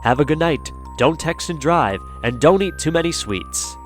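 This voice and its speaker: A man's voice: fairly high pitch, expressive, moderate pace, soft background music. A man narrating an audio drama in a theatrical, playful and slightly grandiose storytelling style.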